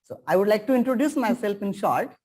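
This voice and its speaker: A man's voice: high-pitched, expressive, quick pace, a little mellow. A man with an Indian accent hosting an international webinar as a teacher, speaking earnestly and formally and repeating phrases for emphasis over a video call.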